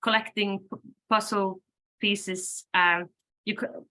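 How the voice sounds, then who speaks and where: A woman whose first language is Swedish, a band singer and lyricist, answering interview questions thoughtfully, with many pauses and fillers and frequent laughs. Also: mid-range voice, little pitch movement, bright, forceful tone, rough recording. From Sweden.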